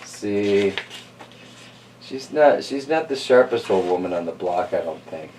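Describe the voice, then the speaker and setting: A man reading a storybook aloud in an expressive, informal and chatty way. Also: on the low side, measured pace, distant mic, a little hiss or hum, poor audio. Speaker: storybook reader and host. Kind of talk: storybook narration with casual asides.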